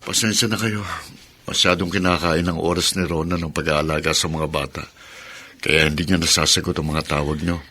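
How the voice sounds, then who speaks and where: A man's voice: a bass voice, fairly even intonation, a little fast, sharp tone, slightly rough, dry recording, exaggerated voice. A man playing a burdened, apologetic young father in a radio drama, earnest and hesitant in manner. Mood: polite, guarded.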